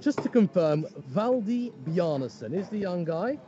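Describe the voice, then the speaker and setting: A man hosting a live darts stream, brisk and organizing as he hands over to the commentators. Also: high-pitched, sing-song, muffled recording, background noise. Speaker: stream host.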